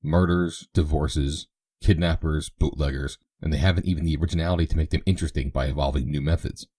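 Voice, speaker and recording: A man's voice: rumbling low, fairly even intonation, fast talker, mellow, dry recording. A man reading a dry, grumbling old colonel. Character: older father, a dry, world-weary colonel.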